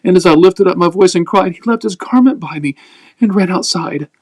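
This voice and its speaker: A man's voice: high voice, brisk, voice pushed up. A man voicing an indignant, accusing noblewoman in an emphatic, aggrieved way, as part of a scripture reading.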